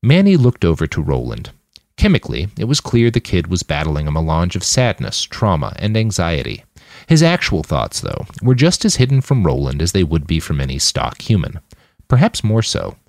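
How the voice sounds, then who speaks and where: A man's voice: low voice, huge pitch swings, moderate pace, gravelly, darkish tone, no echo, studio quality. A man narrating a scripted novel as an audiobook reader.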